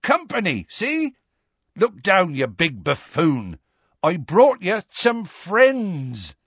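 A man voicing a gruff, big-hearted half-giant gamekeeper who shouts up and coaxes. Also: high voice, huge pitch swings, takes it slowly, no echo, lo-fi sound, pitched above the performer's own voice, heavily acted. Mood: coaxing. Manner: shouting up, coaxing and scolding.

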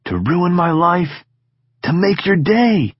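A weary teenage boy who gives short, dry, reluctant answers, as a male narrator voices him. His voice is pitched higher than the narrator's own, husky and close. The recording is slightly dull. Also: very animated, slow, dry recording, heavily acted.

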